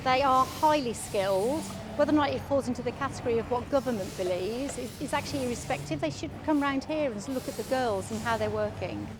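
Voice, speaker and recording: A woman's voice: a little high, a little fast, hardly any pauses. A woman who runs a clothing factory, talking indignantly and with emphasis. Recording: noisy factory floor.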